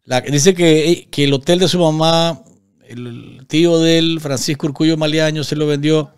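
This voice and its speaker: A man's voice: a little high, fairly even intonation, moderate pace, clear, resonant tone. A man in his forties with a Nicaraguan accent, giving live political commentary as a host, emphatic, repeating phrases and asking rhetorical questions. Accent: Nicaraguan Spanish. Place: small indoor studio at a desk. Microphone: desk studio microphone.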